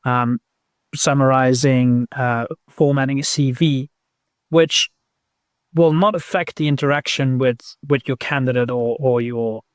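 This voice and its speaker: A man speaks as an interview guest in a conversational style. He chooses his words in a measured, slightly halting way, working through his thoughts as he goes. The sound is very dry, with hardly any room in it. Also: mid-range voice, steady tone, dry recording. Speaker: podcast guest, recruitment technology professional.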